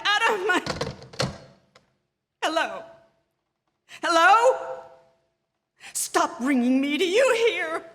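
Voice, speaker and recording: A high-pitched, frantic, imperious wife. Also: female voice, bright, forceful tone, full character voice. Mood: frantic, angry. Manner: imperious, breathless exclamations, frantic repetition. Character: nervous, demanding married woman, panicked and imperious. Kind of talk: theatrical radio suspense acting. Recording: live theatre stage, long reverb, noisy.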